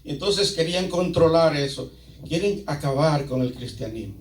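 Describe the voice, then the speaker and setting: High-voiced Latin American man preaching, echoey room. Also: lively intonation, normal speed, a little hiss or hum. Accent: Latin American Spanish. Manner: emphatic, asks rhetorical questions, repeats phrases for emphasis. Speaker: pastor preaching. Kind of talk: sermon to a congregation. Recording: echoey room, picked up from a distance.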